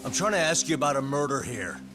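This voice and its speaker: An actor playing a cool, persistent private detective in an English-dubbed game drama, questioning in a deliberate way. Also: male voice, mid-range voice, expressive, over music, lightly acted. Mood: pressing, insistent.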